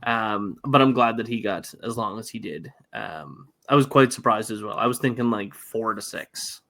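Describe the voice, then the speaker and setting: A man's voice: fairly low pitch, expressive, normal speed, gravelly, a bit bright. A man co-hosting a casual sports podcast, laying out the facts and then asking for his partner's views. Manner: leads the discussion, laying out the facts before asking questions. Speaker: co-host, hockey writer.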